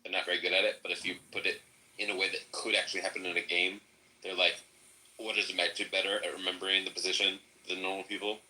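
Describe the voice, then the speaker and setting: A man in a casual chat, talking in a rambling, animated way. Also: deep voice, fast, low-quality recording. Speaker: podcast participant.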